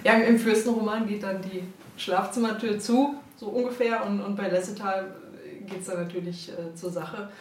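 A woman being interviewed, chatting casually in a halting, tongue-in-cheek way. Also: medium pitch, fairly even intonation, a little mellow. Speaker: interviewed publishing staffer. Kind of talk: casual chat. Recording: echoey, distant, clearly noisy background.

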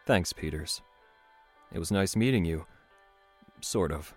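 A man's voice: low voice, slow, faint music behind. An actor playing a weary, remorseful father in an audio drama, speaking in a hesitant, subdued way. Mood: troubled, resigned. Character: weary, remorseful troubled father, pleading and apologetic.

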